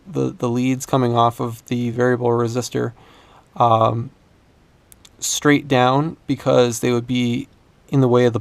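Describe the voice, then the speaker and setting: A man explaining a hands-on repair casually, in a hesitant, halting way. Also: medium pitch, vocal fry, clear, resonant tone, dry recording. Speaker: repair video host.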